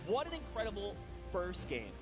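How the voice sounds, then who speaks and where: A man casting an esports tournament, excited play-by-play that rises to shouts at big plays, his voice getting hoarse. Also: high-pitched, normal speed, music in the background. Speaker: esports caster. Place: arena commentary desk.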